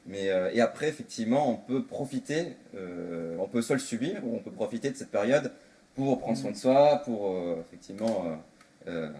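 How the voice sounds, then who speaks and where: A man's voice: lowish voice, animated, moderate pace, dark tone. A man of thirty-three from southwestern France, talking casually as a guest in a group discussion. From Bordeaux, France.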